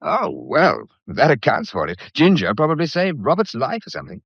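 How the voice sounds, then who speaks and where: An actor with a British accent playing a breezy, blunt, gallant painter in a period radio drama, full of jaunty, slangy, teasing banter. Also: male voice, on the high side, wildly swooping pitch, normal speed, fairly bright tone, breathy edge, no room sound, full character voice. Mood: concerned, probing. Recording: dull, muffled lo-fi audio.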